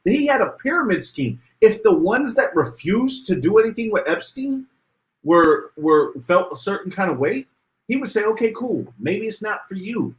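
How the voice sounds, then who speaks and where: A man co-hosting a casual podcast livestream, reading out viewer comments and getting animated as he talks. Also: moderate pace, poor audio. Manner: animated, rises in pitch when worked up. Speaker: podcast co-host.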